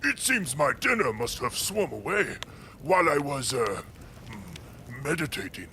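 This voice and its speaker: A man voicing a kindly, sleepy male storyteller creature in an audio drama. He muses genteelly and hesitantly, with lively swoops in pitch, over some background noise. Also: measured pace, heavily acted. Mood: startled, genial.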